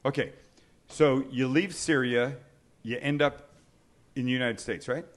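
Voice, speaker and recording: A man's voice: medium pitch, measured pace. A man hosting an online cooking show, chatting casually and stretching out words for emphasis. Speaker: host of an online cooking livecast. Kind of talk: casual talk-show interview.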